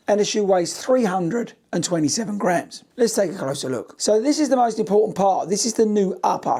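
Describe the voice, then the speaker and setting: A British man, a running coach, talking casually to camera in a shoe review while nearly losing his voice, croaky and hoarse. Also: high-pitched, warm tone. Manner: chatty, cheeky, direct to viewers.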